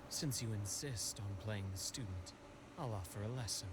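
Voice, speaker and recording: A man's voice: lowish voice, close to an everyday voice. Composed male doctor acted by a man, noisy background. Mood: wry, challenging. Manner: measured, wry, pointed. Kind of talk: video game voice acting.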